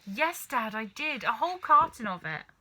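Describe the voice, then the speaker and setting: A woman reads as an eager young boy from a storybook. The voice is very bright and crisp in edge, earnest and matter-of-fact, sitting fairly high. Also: sing-song, normal speed, heavily acted. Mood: thoughtful.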